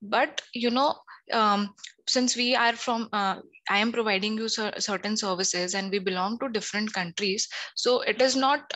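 A woman's voice: medium pitch, fairly bright tone, poor audio. A young woman from India on a headset video call, explaining law hesitantly with many fillers. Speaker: legal analyst guest.

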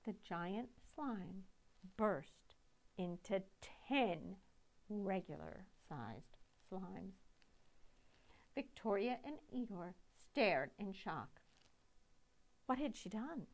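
A middle-aged woman reading a picture book aloud to children, with dramatic, deliberate phrasing. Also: mid-range voice, sing-song. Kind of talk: expressive storytime read-aloud.

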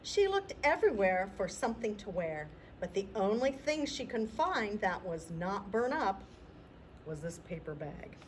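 A middle-aged woman hosting a library storytime, reading a picture book aloud to children in an expressive, deliberate way. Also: mid-range voice, a little hiss or hum, poor audio. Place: indoor library room.

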